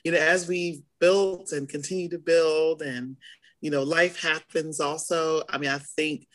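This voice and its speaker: A hoarse middle-aged woman co-hosting a podcast in casual chat, animated as she acts out her own past reactions. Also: low-pitched, a little slow. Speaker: podcast co-host.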